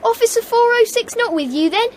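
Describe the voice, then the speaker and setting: Cheeky kid boy, really high voice, bouncy intonation, bit of noise behind. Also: expressive, a little fast. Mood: wary. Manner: cheeky, colloquial. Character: cheeky, streetwise schoolboy, wary but friendly. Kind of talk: radio drama acting.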